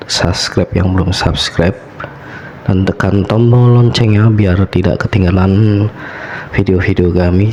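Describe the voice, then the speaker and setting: A man with a Javanese accent hosting and telling horror stories radio-style, his voice slightly hoarse from a cold. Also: sits low, a little mellow, slight background noise. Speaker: host of a horror story show.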